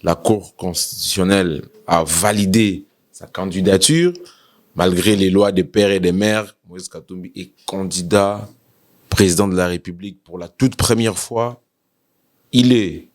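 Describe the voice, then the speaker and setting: Lower-voiced Congolese male interviewer. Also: fairly even intonation, unhurried. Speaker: interviewer, host. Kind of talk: political interview questioning.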